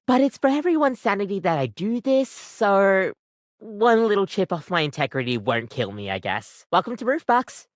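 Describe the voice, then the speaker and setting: A woman's voice: fairly low pitch, dry recording, studio quality. A woman voicing a friendly, chatty coffee maker come to life as a barista in a video game, with bubbly, slightly awkward customer patter. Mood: cheery, welcoming. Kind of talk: animated video game voice acting.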